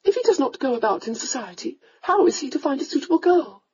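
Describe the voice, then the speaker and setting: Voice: sits high, sing-song, quick pace, darkish tone, voice pushed up. A narrator voicing a meek, gushing aristocratic mother, a countess, who frets and apologizes, in a slightly muffled recording. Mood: fretful.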